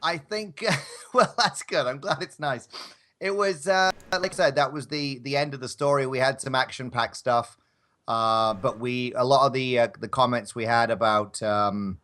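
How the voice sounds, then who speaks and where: A man's voice: medium pitch, fairly bright tone. A man with a mid-range voice talks casually as a guest on a live show. His delivery rambles, with a self-deprecating manner. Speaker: guest, audio drama maker.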